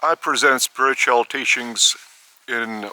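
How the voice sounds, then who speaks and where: A man from Lithuania, giving a spiritual talk outdoors in the park of an old estate, speaking slowly in short, halting phrases. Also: mid-range voice, steady tone, pushed and bright, rough recording. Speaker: spiritual teacher.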